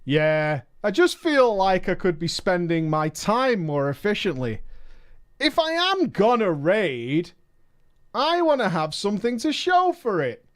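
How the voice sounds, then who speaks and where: A man's voice: high-pitched, sing-song, unhurried. A man streamer reading a viewer's story aloud and breaking in with emphatic, mocking asides.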